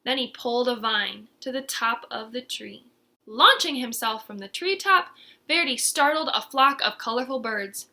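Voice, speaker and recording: A woman reading a children's storybook aloud as an expressive narrator, with dramatic, deliberate emphasis. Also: on the high side. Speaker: storybook reader.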